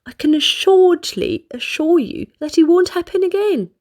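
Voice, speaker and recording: Woman playing a male restaurant manager, pompous, fussy, overly formal, raised pitch, lively swoops, storybook voice. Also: moderate pace. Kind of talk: storybook read-aloud.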